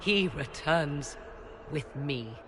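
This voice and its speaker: A woman's voice: deep voice, lively intonation, very slow, background noise, heavily acted. An actress playing a proud, defiant witch and fiercely protective mother in a video game, with formal, haughty, archaic phrasing. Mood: resolute. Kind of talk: dramatic video game voice acting. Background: background music.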